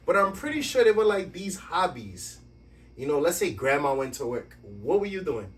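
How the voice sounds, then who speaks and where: A man hosting a podcast from a basement home studio, giving lively, playful introductions and questions. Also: on the high side, normal speed, distant mic. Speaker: podcast host. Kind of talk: podcast interview.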